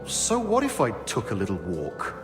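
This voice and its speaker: Evasive grumbler for a game cutscene, male: lowish voice, weary and defensive, long room echo. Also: wildly swooping pitch, moderate pace. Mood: defensive, grudging. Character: grumpy, evasive man hiding from questioners. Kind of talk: video game cutscene acting. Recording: echoey stone-room reverb, music behind.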